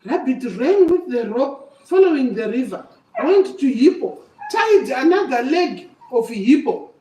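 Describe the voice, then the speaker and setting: Older lady doing story time for kids, slow n mellow, teacherly, echoey room far from mic. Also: sits high, big pitch swings. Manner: emphatic, repetitive, teacherly. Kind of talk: animated oral storytelling to children. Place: echoey room, away from the microphone. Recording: echoey room, distant microphone.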